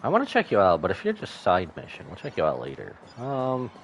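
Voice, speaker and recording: A man's voice: low-pitched, huge pitch swings, fairly quick, slight background noise. A man livestreaming a game, giving playful commentary and reading dialogue aloud. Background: faint game music.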